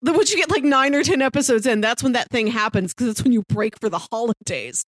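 A woman's voice: fairly high pitch, expressive, quick pace, no echo. A woman co-hosting a podcast, casually thinking aloud in a reflective, analytical way. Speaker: podcast co-host. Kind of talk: casual TV discussion.

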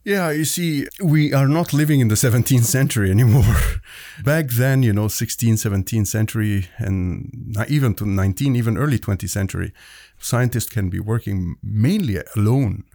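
A man in his forties, originally from Egypt, a professor speaking as a guest in a conversational interview, explaining at length with frequent pauses. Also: medium pitch, mellow, dry recording, studio quality. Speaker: physics and chemistry professor, guest.

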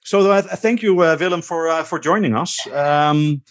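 A man with a Dutch accent hosting a podcast in casual, joking chat, with halting, stammering speech. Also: on the high side, a little hiss or hum. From Netherlands. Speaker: podcast host.

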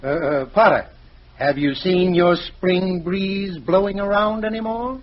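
An American actor playing a grumbling, tight-fisted department store boss in an old-time radio drama, blustery and exasperated. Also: male voice, high-pitched, measured pace. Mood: wry. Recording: muffled old radio recording.

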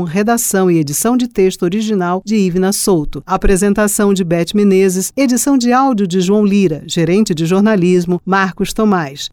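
A woman's voice: mid-range voice, a little mellow, no echo, clean studio sound. A Brazilian woman presenting a radio documentary with scripted narration.

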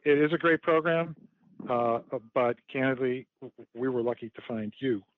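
A man's voice: medium pitch, moderate pace, no echo. A man interviewing a colleague, asking friendly, lightly joking questions in conversational style. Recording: tinny phone-like line.

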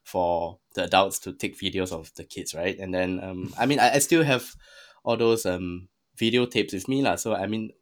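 Podcast guest: young Singaporean male, on the low side, conversational, feeling his way through sentences. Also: fairly even intonation, moderate pace. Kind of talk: casual conversational storytelling.

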